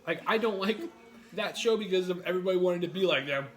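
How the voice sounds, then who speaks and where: A man with a New York accent chats loosely while eating, joking and rambling between bites. His voice sits a bit high for a man. He swears casually as he goes. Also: quick pace, fairly bright tone. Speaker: mukbang host.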